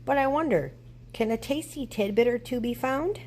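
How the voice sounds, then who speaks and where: A woman's voice: on the high side, moderate pace, darkish tone, slight background noise, voice pushed up, natural voice. A woman voicing a gleeful old witch from a storybook, theatrical and cackling, with a chanting delivery. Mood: delighted. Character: gleeful old witch cooking bone soup.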